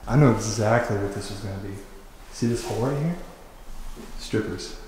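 A man's voice: lowish voice, normal speed. A young man vloggs his way through an unfinished mansion, talking loosely and laughing as he goes. His voice rings around bare, echoing rooms with a long tail of reverb. Faint noise sits underneath. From Oklahoma. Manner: excited, slangy, laughs often. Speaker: urban explorer vlogger. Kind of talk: casual walkthrough narration. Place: large empty unfinished house, echoing rooms. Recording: very echoey empty rooms.

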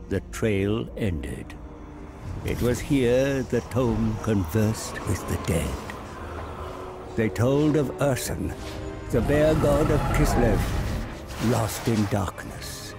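An actor playing a weary, scheming old scholar in a game cinematic, grave, theatrical and deliberate. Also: male voice, lowish voice, expressive, music in the background. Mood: grave, ominous. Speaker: narrator and advisor character. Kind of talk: dramatic game cinematic narration.

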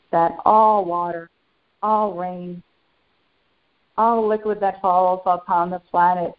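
A woman channeling a serene, benevolent spiritual master, guiding a meditation in slow, short phrases with long pauses. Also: fairly low pitch. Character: serene, benevolent ascended master St. Germain addressing followers. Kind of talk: guided meditation. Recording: dull lo-fi sound.

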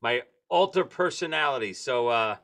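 A man in his forties with a New York accent, telling lively stories as a guest on a video-call interview. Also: medium pitch, normal speed. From Brooklyn, New York. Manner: lively, animated storyteller. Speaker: guest drummer and author. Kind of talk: casual interview chat. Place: home room with lights and posters.

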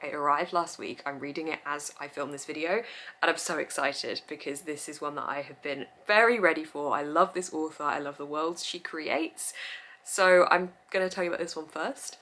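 Chatty woman with gravelly fry. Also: sits low, fairly bright tone. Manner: chatty and effusive, laughs often. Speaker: book vlogger. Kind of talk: casual book review chat. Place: indoors, in front of bookshelves. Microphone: camera mic, head-and-shoulders framing.

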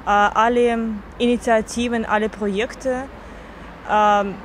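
A woman's voice: on the high side, steady tone, slow pace. Woman, traffic behind. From Karelia, Russia. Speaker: cultural manager fellow. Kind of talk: interview answers.

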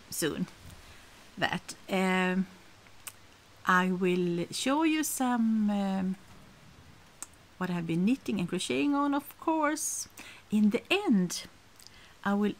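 A woman's voice: medium pitch, vocal fry. A middle-aged woman from Sweden with a Swedish accent chats casually to camera as a podcast host, often searching for words and rephrasing.

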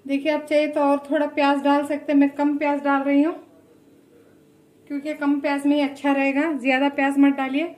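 A woman hosting a home cooking tutorial in her kitchen, chatty, often pausing to say "look" and give blessings. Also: sits high, monotone, darkish tone, poor audio. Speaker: cooking channel host.

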